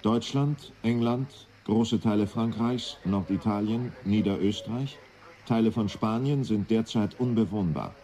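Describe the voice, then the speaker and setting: Actor as dark, low-voiced official spokesman. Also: measured pace, lightly acted, low-quality recording. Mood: grave, official. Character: grave male official military spokesman. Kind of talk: 1980s radio drama, formal bulletin.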